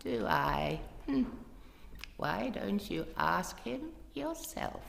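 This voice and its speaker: A woman playing a sly, sinister, impossibly old lady alchemist with a gravelly voice and theatrical manner in a live horror radio play. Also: on the low side, sing-song, very slow, heavily acted. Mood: gleeful menace. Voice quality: creaky, gravelly. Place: live in an echoing anatomy theatre. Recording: noisy reverberant hall.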